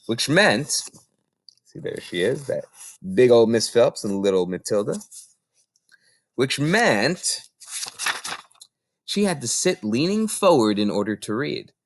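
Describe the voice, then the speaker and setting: A man reading a children's novel aloud as an expressive narrator. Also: mid-range voice, takes it slowly, pauses often, dry recording. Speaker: reader.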